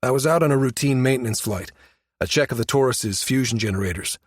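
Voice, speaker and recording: A man voicing an injured navy pilot captain in an audiobook, blunt, steady and matter-of-fact. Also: mid-range voice, fast talker, no echo, studio quality, lightly acted.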